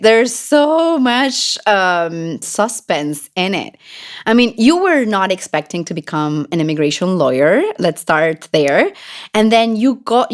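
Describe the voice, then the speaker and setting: A woman hosting a podcast, reading an introduction and asking interview questions with enthusiasm. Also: medium pitch. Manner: enthusiastic, some restarts. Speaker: podcast host.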